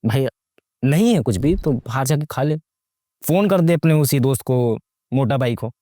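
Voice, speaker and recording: A man's voice: mid-range voice, expressive, moderate pace, dark tone, no room sound, clean studio sound. A young man giving casual, sarcastic movie commentary, slangy and mocking, full of rhetorical questions and mixing English into his Hindi. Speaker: YouTube movie reviewer.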